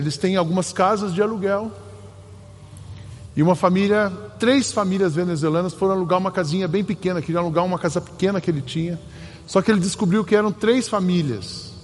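A man with a Brazilian Portuguese accent preaching a sermon as pastor, telling stories earnestly with emphatic pauses. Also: fairly high pitch. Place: church sanctuary. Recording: long hall reverb, faint ambient noise.

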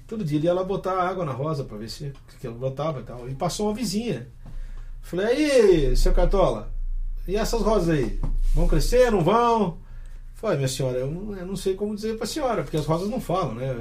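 Male host, animated storyteller, quick delivery, lively pitch. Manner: animated, laughs often, storytelling. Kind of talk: casual chat.

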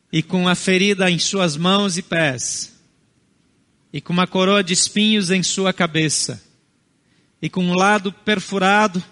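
A Brazilian pastor with a high voice preaches in short, deliberate phrases, and Portuguese is not his first language. His sermon is earnest and he builds each point carefully. The sound has the long reverb of a large hall. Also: a bit bright.

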